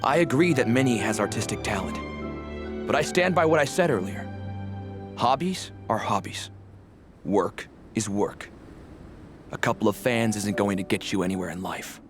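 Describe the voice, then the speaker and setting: A man's voice: fairly low pitch, expressive, moderate pace, pauses often, music in the background, lightly acted. An actor playing a practical, stern father in a video game, with a formal and firm way of talking. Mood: stubborn.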